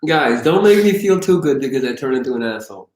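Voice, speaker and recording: A man's voice: mid-range voice, sing-song, fast talker, darkish tone, roomy sound. A man hosting a live question-and-answer stream, joking and teasing while he reads out viewer questions. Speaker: YouTube host.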